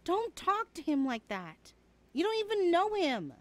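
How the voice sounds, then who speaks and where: Woman acting a high-voiced, defiant little sister. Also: sing-song, a little slow, exaggerated voice. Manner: defiant, argumentative. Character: defiant, protective younger sister of an overbearing brother. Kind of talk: ASMR roleplay acting.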